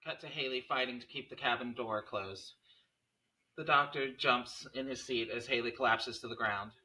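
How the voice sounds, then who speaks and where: A man's voice: mid-range voice, steady tone, moderate pace, sharp tone, low-quality recording. A man narrating scene headings and stage directions from a script at a table read.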